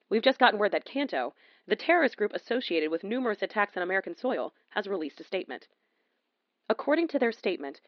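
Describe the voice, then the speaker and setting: A woman's voice: medium pitch, dry recording, lightly acted. A woman acting as a serious, composed female newscaster in an audio drama, reading the news formally. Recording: dull, band-limited, dry broadcast sound.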